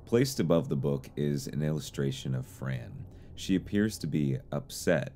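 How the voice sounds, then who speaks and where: Male narrator, extremely low and mellow, measured explainer read over noticeable background noise. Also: animated. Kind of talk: scripted analytical narration.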